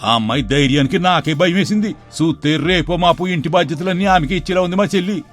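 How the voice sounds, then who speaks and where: A man voicing a scheming middle-aged uncle in an animated story, sly and wheedling. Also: on the high side, fast talker, soft background music, natural voice. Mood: frustrated. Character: scheming middle-aged man plotting to seize his sister's estate.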